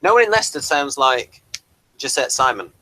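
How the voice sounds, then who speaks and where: A man with an English accent explaining things in casual discussion, often halting and rephrasing as he goes. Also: mid-range voice, sing-song, sharp tone, no echo. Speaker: podcast reviewer.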